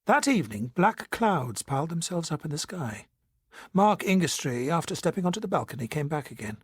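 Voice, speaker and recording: Man as husky, expressive gentleman narrator. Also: on the high side, no echo. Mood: grave storytelling. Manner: formal, measured storytelling. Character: genteel period colonel recounting events as narrator. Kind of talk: scripted dramatic narration.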